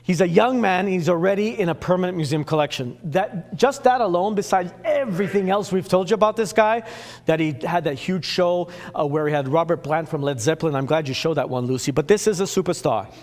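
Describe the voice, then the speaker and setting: Fast-chanting Swedish-accented male auctioneer. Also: sits high. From Sweden. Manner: repetitive rhythmic bid chant. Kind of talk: rapid auction calling.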